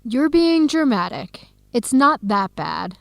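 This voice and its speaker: An actress playing a warm-hearted young woman in an educational radio drama, expressive and conversational. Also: on the high side, takes it slowly, creaky, no echo, full character voice. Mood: frustrated, defensive. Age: young adult. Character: Helen, warm-hearted young woman, defensive about her boyfriend.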